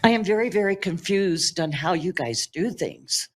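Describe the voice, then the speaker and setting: An older woman, a resident, speaking up from the floor at a public meeting in a loud, emphatic and flustered way. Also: fairly low pitch, animated, moderate pace. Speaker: resident asking a question. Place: county meeting chamber.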